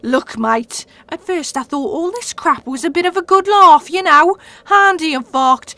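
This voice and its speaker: Woman acting a high, sharp, scornful British creature. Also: expressive, a little slow, no echo, full character voice. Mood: exasperated. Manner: scornful, brash, slangy. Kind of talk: comedy audio drama acting.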